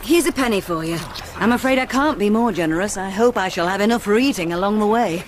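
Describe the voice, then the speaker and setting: A woman's voice: mid-range voice, lively intonation, fast talker, lightly acted. Actress as a polite young Victorian woman, noisy surroundings. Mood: polite, sociable. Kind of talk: radio drama acting. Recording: noisy boat ambience.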